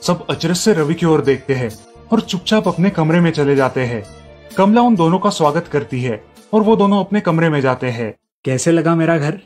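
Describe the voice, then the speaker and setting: A man's voice: on the high side, moderate pace, faint music behind. Man narrating a cartoon story. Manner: steady storytelling.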